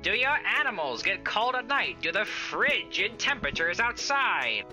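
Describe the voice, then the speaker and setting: A woman's voice: mid-range voice, sing-song, normal speed, pushed and bright, soft background music. A woman playing an upbeat, slick saleswoman in an old-time radio advertisement, theatrical and salesy. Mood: upbeat, persuasive.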